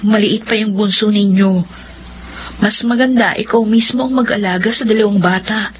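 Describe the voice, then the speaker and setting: A sensible, advising friend acted by a woman with a Filipino accent and a medium-pitched voice. It is radio drama acting in a slightly muffled recording with faint noise. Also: fairly even intonation, normal speed, close to an everyday voice. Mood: reassuring. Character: Aliana, calm sensible female friend giving advice.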